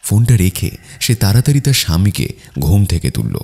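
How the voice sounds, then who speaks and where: A man's voice: low voice, sing-song, brisk, gravelly, mellow. A man narrating a cartoon story as a scripted storyteller.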